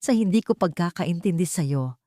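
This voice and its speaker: A woman's voice: fairly low pitch, lively intonation, normal speed, warm tone, no room sound, studio quality, natural voice. A woman voicing a captive bride who feigns broken, adoring surrender in an audio story, weak and submissive. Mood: meek, apologetic. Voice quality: cracked, weak. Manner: submissive, pleading.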